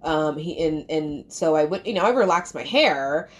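A woman's voice: low voice, animated, normal speed. A woman from Albany, New York, hosting a podcast in casual conversation, rambling with lots of "like" fillers. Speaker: podcast host.

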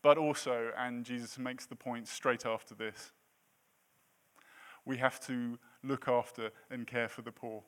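A man's voice: medium pitch, level tone, unhurried, fairly bright tone. Joking man in his thirties preaching. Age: 30s. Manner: self-deprecating, jokey asides. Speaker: pastor. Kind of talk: sermon.

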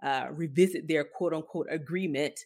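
A woman's voice: low voice, moderate pace, a little mellow. A woman co-hosting a podcast, talking through news in a casual, informal and wry way. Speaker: podcast co-host. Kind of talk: casual tech news discussion.

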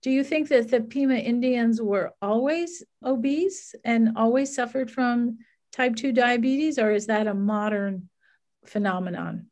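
A woman's voice: a little high, a little slow, a little mellow. A woman hosting a podcast, asking a guest friendly, curious questions. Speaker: podcast host. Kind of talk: podcast interview.

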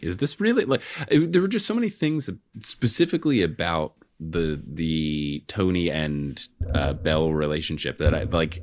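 A man's voice: low-pitched, muffled recording. A man co-hosting a casual podcast chat, rambling in a wry way.

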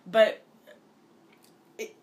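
A woman in her twenties from Minnesota, a college student answering interview questions casually, often restarting her sentences. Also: darkish tone. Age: 20s. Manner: fast, with many restarts and filler likes.

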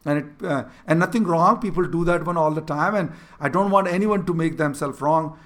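A man's voice: fairly high pitch, brisk, a bit bright. A man with an Indian accent, Hindi his first language, earnestly coaching a younger person on leadership and repeating his key points. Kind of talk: mentoring conversation.

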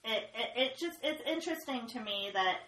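A woman speaking frankly in a casual panel discussion, animated but dropping low and quiet when serious. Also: normal speed, echoey room, a little hiss or hum. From United States.

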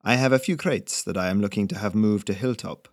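Man playing a secretive merchant, lyrical lilt, formal, low voice, dry sound. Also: a little fast, no room sound, lightly acted. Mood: guarded.

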